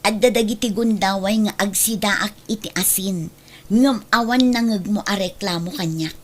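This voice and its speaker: A woman's voice: mid-range voice, normal speed, natural voice. A woman playing a caring but reproving elder sister in an audio drama, earnest and admonishing, with an Ilocano accent. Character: caring, reproving elder sister who defends her mother.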